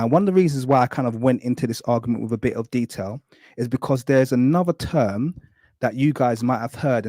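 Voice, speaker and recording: Man, thorough, apologetic, mellow tone, dry close sound. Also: mid-range voice, no echo. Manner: thorough, apologetic, keeps saying really quickly. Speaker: guest explaining hieroglyphs. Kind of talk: live-stream lecture with visual aids.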